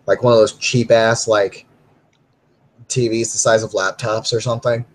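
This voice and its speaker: A man's voice: medium pitch, flat delivery, moderate pace. A man casually narrating his character in a tabletop roleplay, rambling with many fillers. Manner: rambling, filler-heavy, laughs while talking.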